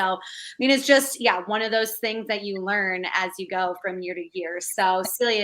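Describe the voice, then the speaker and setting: A young woman with a bright, clear voice talks through the planning of a virtual conference. She speaks casually and loosely, with plenty of fillers. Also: medium pitch, low-quality recording. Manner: relaxed, conversational, frequent fillers. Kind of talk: casual chat.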